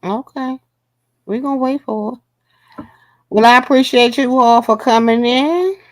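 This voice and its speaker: A woman's voice: on the high side, a little slow, dry recording, low-quality recording. A woman hosting a casual live stream, joking and slangy with her guests. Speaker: livestream host.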